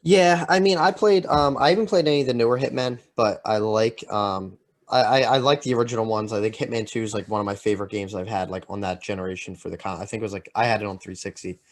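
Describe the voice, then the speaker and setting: A man chatting casually as a podcast panelist, halting and circling back on his sentences. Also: on the low side. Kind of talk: casual opinion chat.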